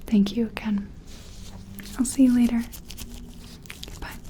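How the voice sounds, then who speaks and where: A woman playing a gentle, curious scientist in an ASMR roleplay, speaking softly and tentatively in a breathy, often whispery, soothing voice. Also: fairly high pitch, steady tone, slight background noise, lightly acted, low-quality recording. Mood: apologetic, reassuring. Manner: soothing, tentative, apologetic, hesitant pauses. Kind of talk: soft-spoken ASMR roleplay.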